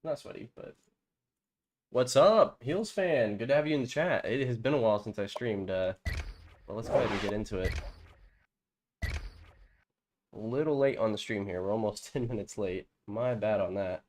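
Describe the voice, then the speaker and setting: A man's voice: lowish voice, normal speed, long pauses, slight background noise. A man with a stuffy, congested nose, casually talking through a football video game on a live stream, rambling with plenty of fillers. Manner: relaxed, rambling, many fillers. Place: home streaming setup with green screen. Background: background music.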